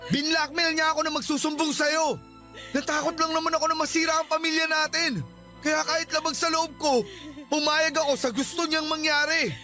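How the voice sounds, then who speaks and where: Agitated, high-pitched cheating husband. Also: male voice, little pitch movement, brisk, pushed and bright, faint music behind. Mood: defensive, angry. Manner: defensive, agitated. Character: cornered, blame-shifting cheating husband, loud and defensive. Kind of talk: Tagalog radio drama acting.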